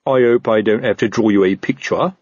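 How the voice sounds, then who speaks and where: A man's voice: fairly low pitch, a little mellow, dry recording, highs cut off, natural voice. Man as a miserable, moaning police sergeant. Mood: anxious. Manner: moaning, halting, rambling explanations. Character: anxious, miserable, long-suffering male police sergeant.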